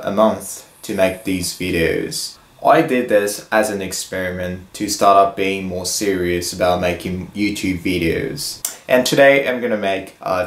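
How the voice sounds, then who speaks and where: A young man in his twenties talking casually to the camera in a vlog, slow and hesitant, with many fillers and restarts. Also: deep voice, echoey room. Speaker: fitness vlogger. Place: plain indoor room, then a gym hallway.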